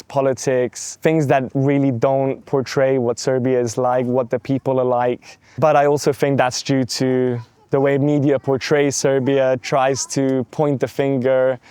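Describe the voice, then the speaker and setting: A young man from London, a guest in a casual interview, answering in a measured, thoughtful way and often pausing on phrases like "let's say". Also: medium pitch, flat delivery, mellow, clear, resonant tone, no room sound. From London, England.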